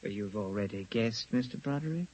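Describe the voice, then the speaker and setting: Polite evil martian in an old radio play, super expressive pitch, formal, smug, bit husky. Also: male voice, normal speed, low-quality recording. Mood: gloating menace. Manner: formal, gloating, mock-polite. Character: suave, menacing male Martian agent posing as human. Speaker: radio drama actor. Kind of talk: 1950s radio acting.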